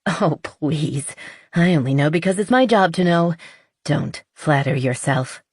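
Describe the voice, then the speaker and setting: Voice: mid-range voice, lively intonation, unhurried, a little mellow, husky, no echo, clean studio sound. A narrator voicing a talkative, exasperated young woman with a haughty but playful streak in an audiobook. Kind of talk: audiobook character dialogue.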